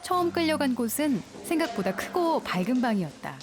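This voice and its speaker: A woman reading scripted travel documentary narration in a calm, measured way, recorded as a studio voice-over. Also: high voice. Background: music.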